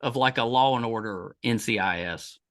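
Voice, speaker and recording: A man's voice: medium pitch, moderate pace, a bit bright. A man hosting a podcast interview, chatty and enthusiastic, often restarting his sentences. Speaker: podcast host. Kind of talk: casual interview chat.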